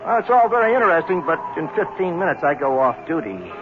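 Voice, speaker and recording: A man's voice: a little high, big pitch swings, fast. An actor playing a joking patrolman who teases the reporter in a 1970s radio drama. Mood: teasing. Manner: wisecracking, sing-song teasing. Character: wisecracking male patrolman near shift end. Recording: tinny, hissy old radio broadcast.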